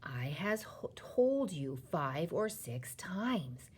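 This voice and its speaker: This is a woman performing a gentle old giant from a children's storybook. The voice is husky and slow, with a gloomy, stubborn temper. The giant speaks in muddled folksy grammar full of invented words. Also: deep voice, sing-song, full character voice. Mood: weary, firm. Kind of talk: children's storybook character reading.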